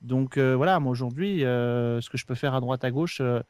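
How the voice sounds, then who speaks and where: A man's voice: medium pitch, moderate pace, a little mellow, clear, resonant tone, no room sound. A man talking casually and at length as an interview guest, explaining things as a podcast co-host. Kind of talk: casual conversational interview answers.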